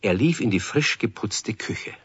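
A man with a husky voice narrating a children's radio play as a scripted story. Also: fairly low pitch, lively intonation, unhurried, no echo, full character voice. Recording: dry, muffled 1970s radio recording.

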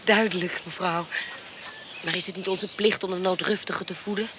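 A woman playing a sly, smooth-talking hostess. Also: lowish voice, steady tone, moderate pace, a bit bright, slight background noise, close to an everyday voice. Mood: wheedling. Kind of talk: radio play acting.

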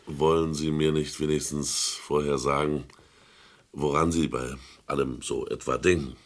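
An actor playing a seasoned, discreet art appraiser in a German crime radio play, deliberate, polite and soothing. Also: male voice, flat delivery. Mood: polite, knowing. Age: middle-aged or older. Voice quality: very deep, husky.

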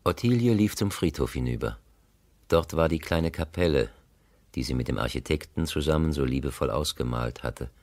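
An actor narrating a literary radio play as a solemn, reflective storyteller with a measured, elegiac delivery. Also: male voice, very deep voice, dry recording. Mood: gentle, solemn. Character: solemn, reflective male narrator of a classic novel.

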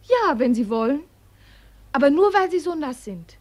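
A woman's voice: sits high, sing-song, moderate pace, a little mellow. An actress in a radio play as a kind young woman, coaxing, playful and insistent. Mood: teasing, tender. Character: kind, teasing young woman, lively and forthright. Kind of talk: German radio play acting.

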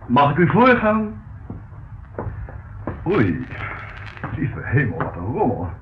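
Pompeuze makelaar met zwierige intonatie. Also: male voice, on the high side, huge pitch swings, slow pace, exaggerated voice. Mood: fussy, scandalised. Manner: formal, pompous sales patter. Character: fussy, easily scandalised male estate agent. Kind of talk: Dutch radio play acting. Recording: muffled old radio recording, faint noise.

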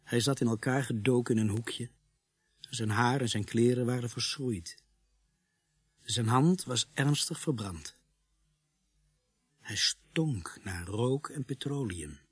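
A policeman narrating a radio crime story in a sober way. His medium-pitched voice is husky and sounds very dry and close. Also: slow pace, long pauses, no room sound. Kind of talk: scripted radio drama narration.

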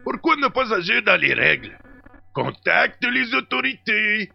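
Gravelly, high-pitched crusty old rogue. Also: male voice, big pitch swings, measured pace, lo-fi sound, soft background music, full character voice. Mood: gruff, exasperated. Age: older. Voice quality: gravelly, raspy. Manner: gruff, exclamatory, colorful. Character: crusty, colorful old male rogue, agent's loyal sidekick.

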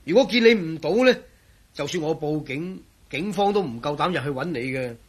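A man acting a proud, excitable engineer and grumbling companion in a radio drama, with a Hong Kong Cantonese accent. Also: a little high, a little fast, husky. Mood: worried.

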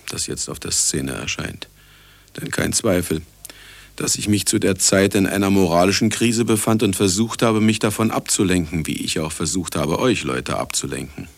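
An actor playing an irascible older scientist who also narrates, theatrical and commanding, in a German radio play. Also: male voice, low-pitched, creaky, dry recording. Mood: dry, confiding.

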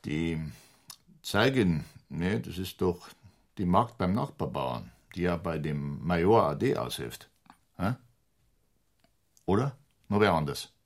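Low-voiced, domineering Bavarian police boss. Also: male voice, lively intonation, takes it slowly, long pauses, full character voice. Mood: interrogating, sarcastic. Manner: brusque, condescending, commanding. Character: domineering, sarcastic police superior in 1920s Bavaria. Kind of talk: German radio crime drama acting.

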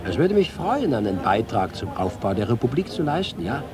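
An actor playing an ageing, nostalgic and proud reporter home from exile, speaking expressively in a literary radio-feature manner. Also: male voice, mid-range voice, very animated, a little fast, over music. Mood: proud, assured. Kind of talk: radio feature dramatic monologue.

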